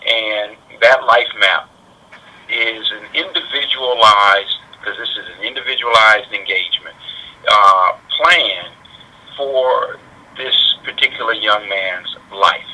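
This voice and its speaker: American guy on a phone interview, really sharp forceful voice, bit raspy, talks deliberately and stresses points. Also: lowish voice. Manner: deliberate, measured, emphatic explanations. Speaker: interviewee, community safety director. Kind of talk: explanatory telephone interview. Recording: radio-like telephone line.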